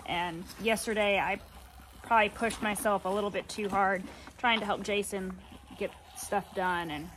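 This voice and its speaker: A woman's voice: medium pitch, fairly even intonation, moderate pace, fairly bright tone, slight background noise, low-quality recording. A woman in her thirties, a farmer vlogging casually to a phone held at arm's length outdoors on a farm, talking in a chatty, rambling, down-to-earth way and sounding sore and tired. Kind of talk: casual vlog chat to camera. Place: outdoors on a farm, by fields and a cattle barn.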